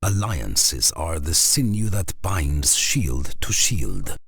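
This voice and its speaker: An actor playing a grave, weathered Norse saga storyteller in a cinematic video game, speaking deliberately with a husky, gravelly voice. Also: male voice, extremely low pitch, very animated, a bit bright, no room sound, studio quality, full character voice. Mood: solemn, ominous. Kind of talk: scripted cinematic game narration.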